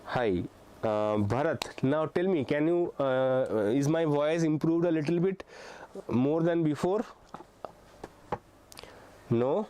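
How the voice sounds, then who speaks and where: A man's voice: a little high, slow pace, pauses often, mellow, clear, resonant tone. A young man teaching an online physics exam-prep lesson. He explains step by step, often hesitates with "uh" and checks in with "okay". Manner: frequent hesitations with uh and um.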